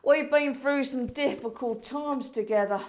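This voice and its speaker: An actress in a comedy play, voicing a brisk, bossy director from heaven with an emphatic, theatrical manner. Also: female voice, high voice. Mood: matter-of-fact, brisk. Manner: emphatic, theatrical, repeats words for effect. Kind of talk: comedy stage play acting.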